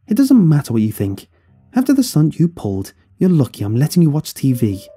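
A man's voice: mid-range voice, lively intonation, fast talker, dark tone, slightly rough, soft background music. A man voicing a stern, no-nonsense mother with a firm, scolding manner in a dramatized story. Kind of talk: scripted story dialogue.

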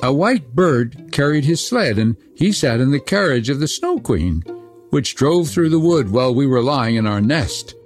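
A man voicing wood pigeons in a storybook, speaking slowly and solemnly. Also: mid-range voice, sing-song, faint music behind, voice pushed up, close to an everyday voice. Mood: telling. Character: gentle cooing wood pigeons.

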